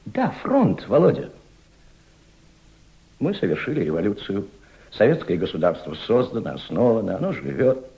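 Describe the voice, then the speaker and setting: Senior Soviet diplomat: deep, sweeping intonation, emphatic mentor in an old radio play, muffled sound. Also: male voice, full character voice. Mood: impassioned, urgent. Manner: didactic, rhetorical, emphatic, with deliberate repetitions. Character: impassioned senior Soviet diplomat patiently mentoring a young subordinate. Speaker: actor in an audio play. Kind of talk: Soviet-style radio drama acting.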